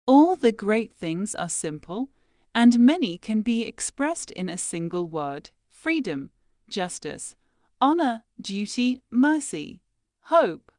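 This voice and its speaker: A woman reads quotations in a slow, deliberate, measured way, pausing after each phrase. Her voice sits a bit high and moves up and down a lot. The sound is dry and close. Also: big pitch swings, a little mellow, no room sound. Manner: measured, deliberate reading with pauses between phrases. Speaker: quote reader. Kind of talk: slow scripted quote reading.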